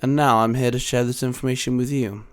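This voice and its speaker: A man voicing a calm, grave mentor of heroes in an audiobook, speaking in a measured, emphatic way. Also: medium pitch, clear, resonant tone, lightly acted. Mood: solemn. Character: calm, grave male mentor guarding the multiverse. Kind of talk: dramatic audiobook character acting.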